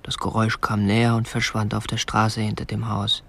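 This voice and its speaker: An actor playing a meek, obsessive young kidnapper who narrates a radio play in a restrained, earnest, stiffly polite way. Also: male voice, on the low side, monotone, normal speed, vocal fry, fairly bright tone, slight background noise. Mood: stiff, confessional. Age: young adult. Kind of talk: radio drama narration and dialogue.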